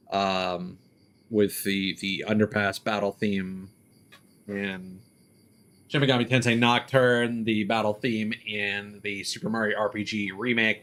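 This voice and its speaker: A man giving casual live game stream commentary in a rambling, halting, mildly griping way. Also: fairly low pitch, fairly bright tone.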